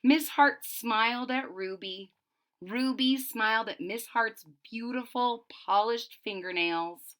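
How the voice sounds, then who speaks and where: Female children's story reader; expressive, slow-paced and bright, explaining simply as she goes. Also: fairly high pitch. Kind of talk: animated read-aloud for young children with explanatory asides.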